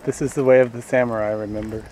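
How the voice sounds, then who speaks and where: Brief: young male co-host joking on a trail, mid pitch, noisy handheld sound. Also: fairly even intonation, fast, dark tone. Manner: joking, upbeat. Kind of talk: casual hiking vlog chat. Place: outdoors on a steep mountain trail. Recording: noisy outdoor camera audio.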